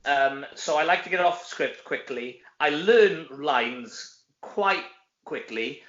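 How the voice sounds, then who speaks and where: Welsh male actor as interview guest, higher-pitched and bright, jokey and chatty, recorded in an echoey room. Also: slow. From Wales. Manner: animated, self-deprecating joking. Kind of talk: casual chat interview. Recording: dull, echoey room sound.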